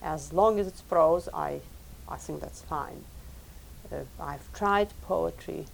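Low, gravelly woman, Lithuanian native speaker. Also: slight background noise, low-quality recording. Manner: thoughtful, measured, repeats words while thinking. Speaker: professor and translator. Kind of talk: recorded oral history interview.

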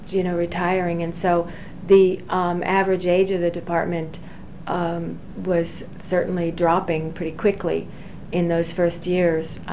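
A woman in her forties, an engineering professor, recalling her career slowly with many fillers and restarts. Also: fairly low pitch, warm tone. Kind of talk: oral history interview. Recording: dull lo-fi recording with faint hiss.

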